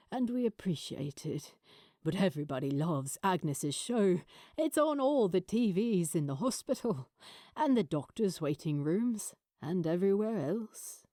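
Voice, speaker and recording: A woman voicing a gentle, wry, frail elderly father in audiobook dialogue, speaking fondly and in a measured way. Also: on the low side, no room sound, studio quality.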